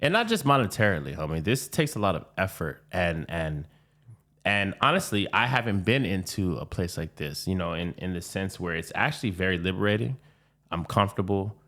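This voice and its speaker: Man, low voice, lively pitch, podcast guest, enthusiastic, slangy, swears. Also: brisk. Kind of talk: casual conversation. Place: home studio room.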